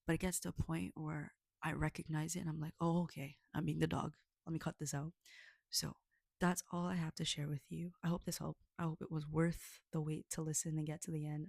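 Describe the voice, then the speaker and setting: A woman's voice: on the low side, vocal fry, darkish tone, dry recording. A woman talking casually and earnestly to her listeners, rambling a little and often checking in with "okay?". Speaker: storyteller reflecting on a fable. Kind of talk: casual spoken reflection to listeners.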